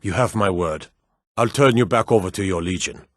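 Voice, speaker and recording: Stern nord warrior lord for a fantasy game, low slightly husky voice, proud and commanding, weighty delivery. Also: male voice, normal speed, dry recording, studio quality. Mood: bitter, grave. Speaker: video game voice actor. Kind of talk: scripted fantasy game dialogue.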